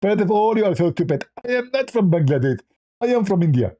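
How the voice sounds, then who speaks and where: A man voicing a dim, indignant debater who insults his opponent and repeats stock phrases haltingly. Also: high voice, very animated, dark tone, voice pushed up.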